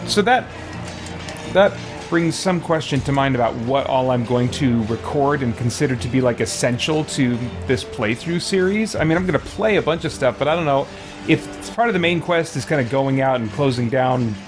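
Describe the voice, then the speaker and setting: A man's voice: mid-range voice, animated, moderate pace. Rambling male game streamer, music behind. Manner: rambling, thinking aloud. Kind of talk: casual let's play commentary.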